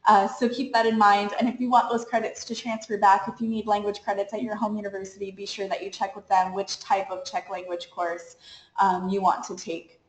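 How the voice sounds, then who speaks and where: A woman's voice: mid-range voice, level tone, a little fast. A woman coordinator giving a webinar presentation, walking listeners through slides in an upbeat, explanatory way. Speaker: study abroad program coordinator. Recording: echoey room.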